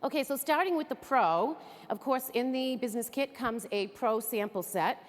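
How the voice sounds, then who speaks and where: A woman's voice: on the high side, moderate pace. A woman from the area, giving an upbeat, chatty training talk to an audience. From Greater Boston area. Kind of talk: enthusiastic stage presentation. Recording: echoey hall.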